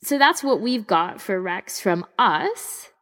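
A woman's voice: medium pitch, very animated, takes it slowly, fairly bright tone. A woman co-hosting a podcast, chatting casually and informally with emphatic opinions. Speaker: podcast co-host. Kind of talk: casual fan chat.

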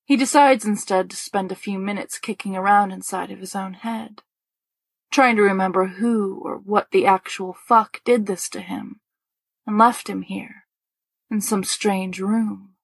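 A woman narrating fiction with a deadpan, wry delivery. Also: mid-range voice, unhurried, vocal fry, husky, dry recording. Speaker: audio fiction reader. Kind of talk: story narration.

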